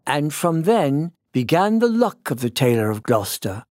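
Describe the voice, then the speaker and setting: Old-fashioned British storybook narrator, played by a man: lively, higher-pitched, theatrical, gentle wonder. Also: dry recording, clean studio sound. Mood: contented, admiring. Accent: British, put on. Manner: theatrical, savouring, drawn-out emphasis. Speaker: storyteller reading a children's tale. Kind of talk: expressive classic storybook narration.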